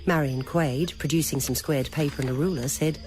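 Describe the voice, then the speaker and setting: A woman narrating a dramatic scripted story in a deliberate, literary manner. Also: sits low, steady tone, darkish tone. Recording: noisy old radio recording.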